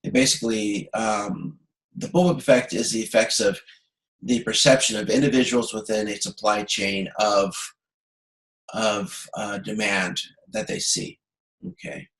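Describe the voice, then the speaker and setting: A man giving an informal lecture as a course instructor over a video conference, explaining haltingly with frequent pauses and self-corrections. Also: lowish voice, steady tone, a bit bright, low-quality recording.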